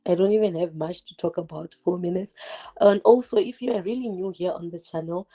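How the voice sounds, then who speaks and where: A woman's voice: on the low side, a little fast, warm tone. A woman in her twenties from Zimbabwe, for whom English is not her first language, chatting directly to viewers on a livestream from her kitchen while cooking. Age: 20s. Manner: chatty, direct, rhetorical questions, many "like" fillers; multitasking, cooking while talking. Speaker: YouTuber hosting a livestream. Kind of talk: casual livestream chat. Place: home kitchen. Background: fireworks outside.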